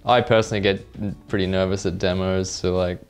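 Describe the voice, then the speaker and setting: A young man from Australia chatting in an easygoing, relaxed way about skating. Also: low voice, normal speed, soft background music. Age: young adult. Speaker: pro skateboarder. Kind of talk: casual interview answers.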